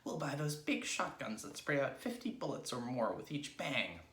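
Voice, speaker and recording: A man voicing a nasty, shrill married woman in a children's storybook, quick to scold and to gloat. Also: wildly swooping pitch, a bit bright, echoey room. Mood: gleeful. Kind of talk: dramatised children's storybook reading.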